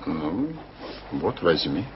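A very deep voice for a powerful prince and statesman, measured and ironic, with a lively up-and-down delivery. It comes from an old radio play recording that sounds dull and carries clear tape hiss. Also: heavily acted. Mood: stern. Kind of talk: 1980s radio play acting.

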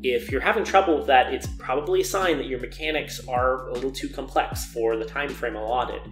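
A young man speaks as an organizer giving practical advice in an informal talk. His voice sits at a medium pitch, and he leans earnestly on emphatic words. The room adds a clear echo, with a little music underneath. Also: brisk. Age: young adult. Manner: earnest, emphatic, repeating intensifiers. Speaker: game jam organizer giving a keynote talk. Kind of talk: informal advice lecture to camera. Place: living and dining room at home, indoors. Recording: roomy indoor echo.

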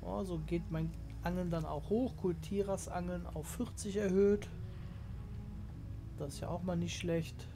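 A man hosting a gaming channel, chatting casually through his play and explaining it to viewers, his voice slightly hoarse from a cold. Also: high-pitched, steady tone, a little slow, music in the background. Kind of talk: casual let's play commentary.

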